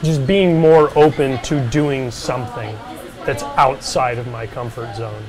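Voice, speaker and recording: A man in his twenties, a former exchange student, speaking in a halting, thoughtful way with many restarts. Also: medium pitch, low-quality recording. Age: 20s. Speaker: former study abroad student. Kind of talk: casual interview talk. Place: small shop, indoors.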